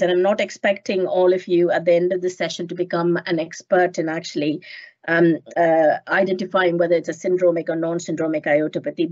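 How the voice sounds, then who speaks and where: A woman's voice: fairly low pitch, darkish tone. Nasal woman lecturing over a call. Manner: measured, many ums and uhs. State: nasal from a cold. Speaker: clinical geneticist giving a talk. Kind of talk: online medical lecture. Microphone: headset on a video call. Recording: muffled video call audio.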